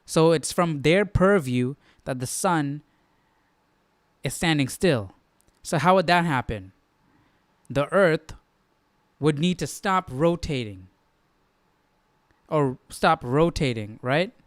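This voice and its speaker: A man's voice: a little high, expressive, slow, pauses often, no echo, clean studio sound. A man hosting a live Bible study, thinking aloud in a conversational, questioning way. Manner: conversational, questioning, repeats himself for emphasis.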